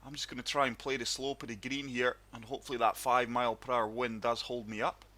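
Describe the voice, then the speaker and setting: A man with a sore throat, hosting his gaming channel and narrating a golf game in calm, steady play-by-play. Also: medium pitch, quick pace. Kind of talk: casual gameplay commentary.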